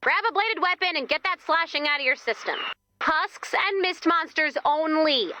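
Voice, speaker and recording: An actress playing an exasperated, peppy young team leader in a video game, with a wry, anxious, chatty manner. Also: female voice, high-pitched, expressive, normal speed, pushed and bright, slight background noise. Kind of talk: scripted video game voice acting.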